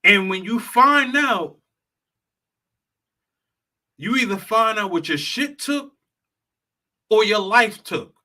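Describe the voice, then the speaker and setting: Brief: high, bright-toned male vlogger, blunt and profane, lecturing the audience. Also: expressive, slow, pauses often. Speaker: travel consultant and vlogger. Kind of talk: heated straight-talk monologue.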